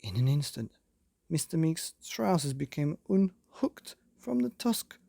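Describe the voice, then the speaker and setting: Man, bedtime story narrator, mellow, gravelly low edge, dramatic delivery, children's podcast host. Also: big pitch swings, dry recording. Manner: theatrical, dramatic emphasis with drawn-out words.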